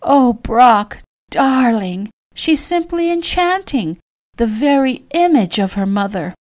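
An elegant, doting mother of a noble house , acted by a woman narrator. She speaks in a lifted, high voice and coos and gushes with excitable warmth, as in a romantic storybook. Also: a little slow, dry recording, heavily acted. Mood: enchanted. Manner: cooing, effusive, gushing.

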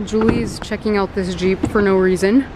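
A young woman chatting to her handheld camera in a casual travel vlog, bubbly and a little hoarse from yelling. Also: mid-range voice, steady tone, moderate pace, noisy background. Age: young adult. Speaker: family vlogger. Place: car, plane and rental house. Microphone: handheld camera at arm's length.

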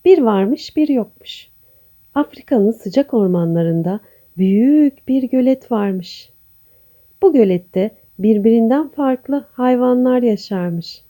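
A woman storyteller reading a scripted children's bedtime tale in a gentle, expressive manner. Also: fairly high pitch.

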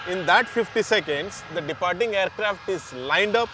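A man's voice: high-pitched, lively intonation, normal speed, noisy background. A middle-aged man with an Indian accent, explaining operations as an interviewee outdoors. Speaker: head of airport operations, interviewee. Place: outdoors on an airfield. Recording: music bed, outdoor vehicle sounds.

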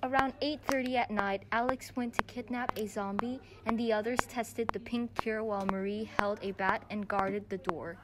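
A woman's voice: mid-range voice, steady tone, normal speed, few pauses, natural voice. A teenage girl narrating an amateur audio drama in a scripted storytelling style. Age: young teenager. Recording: noisy amateur student recording.

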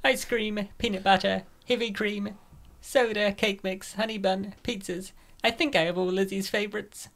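A woman's voice: mid-range voice, fairly even intonation, moderate pace, vocal fry, fairly bright tone. A woman voicing a scheming, gluttonous young gym woman in a comic dub, coaxing and smug.

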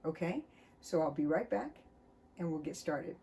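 A middle-aged woman from the United States, a children's author, chatting and reading a storybook aloud in her book-filled home studio with a cheerful, expressive storytime manner. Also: deep voice, normal speed, creaky. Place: home studio full of books, indoors.

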